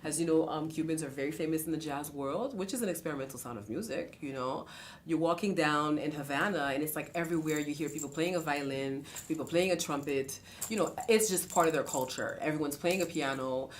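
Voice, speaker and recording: A woman from Haiti talks as a musician guest in a conversational interview, with a notably deep voice for a woman. She is animated and expressive, sprinkling in "you know" and slipping into other people's voices as she tells stories. A faint low rumble sits under the recording. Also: fairly quick.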